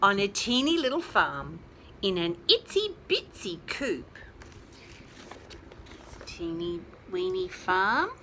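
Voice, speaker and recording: A woman reading aloud to young children, adding chatty asides and questions to listeners, with drawn-out emphasis on key words. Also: medium pitch, sing-song, sharp tone, a little hiss or hum. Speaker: children's storyteller. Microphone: phone held nearby.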